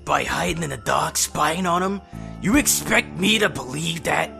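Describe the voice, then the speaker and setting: A man voicing a suspicious, hot-headed male mutant turtle in a comic dub, with gruff, confrontational street talk. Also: a little high, lively intonation, normal speed, over music. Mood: angry, accusing. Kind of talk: animated comic dub dialogue.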